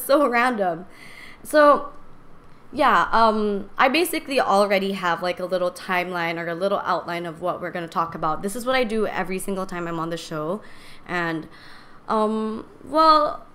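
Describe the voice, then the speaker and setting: Young woman with a raspy, cracking voice. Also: medium pitch, fairly bright tone. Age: 20s. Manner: candid, rambling, chatty. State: strained voice from singing and talking too much. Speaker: podcast host. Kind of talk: casual personal talk to live stream viewers.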